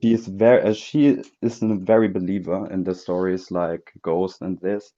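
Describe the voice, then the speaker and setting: A man with a German accent calls in and speaks English hesitantly, stopping to search for words. His voice is low and mellow. The sound is dull and lo-fi. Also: steady tone, normal speed. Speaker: caller telling a ghost story.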